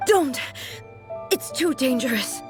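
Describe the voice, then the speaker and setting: Game ruler: composed, regal, very measured pace with expressive pitch, music behind. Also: female voice. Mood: alarmed. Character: dignified female ruler, Almighty Shogun, reserved and composed. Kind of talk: video game voice acting.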